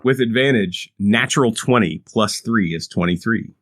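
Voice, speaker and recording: A man's voice: fairly low pitch, moderate pace, a bit bright, a little hiss or hum. A man playing a tabletop game, upbeat as he calls out his dice rolls. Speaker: player.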